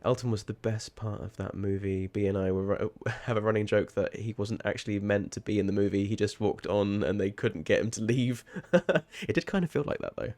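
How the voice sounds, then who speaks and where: A man's voice: fairly low pitch, steady tone, fairly quick, hardly any pauses, darkish tone. A man chatting casually while streaming a game, rambling and sweary, with sudden startled outbursts. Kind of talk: casual livestream chat.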